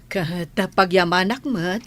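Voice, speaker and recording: An actress playing a gentle, doting middle-aged mother in an Ilocano radio drama. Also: on the low side, slow. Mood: gentle, caring.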